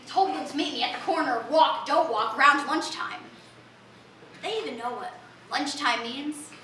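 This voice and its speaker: An actress playing an eccentric street woman who waits for space aliens, talking in a raspy voice and rambling confrontationally at passersby with cackling laughs in a theatrical comic monologue. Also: sits high, moderate pace, sharp tone, slight background noise. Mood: musing. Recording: roomy, distant mic.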